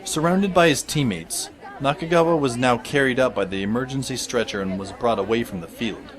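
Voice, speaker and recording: A man's voice: medium pitch, a little fast, a faint crowd, close to an everyday voice. A man playing a wry, observant teenage schoolboy who narrates an audio drama in a wordy, descriptive way. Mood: wry, intent. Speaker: first-person narrator. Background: music behind the voice.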